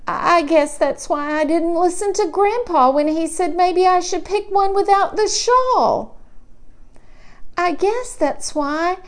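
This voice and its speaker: Woman voicing a high-pitched, earnest little boy. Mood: regretful. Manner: halting, stammering childlike repetitions. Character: earnest, puzzled four-year-old boy telling his story. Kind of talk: children's picture book read-aloud in character.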